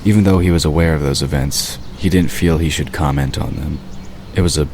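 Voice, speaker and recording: A man narrates a fantasy audio drama in a calm, measured delivery. His voice is extremely low and deep. A clear background hiss runs under the recording.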